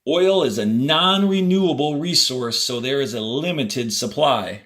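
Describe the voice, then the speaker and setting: A man teaching children, reading a textbook aloud and explaining it step by step in short, deliberate directions. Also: medium pitch, lively intonation, fairly bright tone. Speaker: fourth-grade teacher. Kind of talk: instructional read-aloud of a textbook to pupils.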